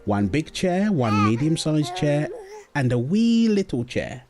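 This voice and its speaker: A man acting a gentle young father at his baby daughter's bedtime, soft, playful and coaxing in an intimate roleplay style. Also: fairly high pitch, sing-song, measured pace, over music. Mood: storytelling. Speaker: audio roleplay performer. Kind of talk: intimate comfort roleplay, storybook reading.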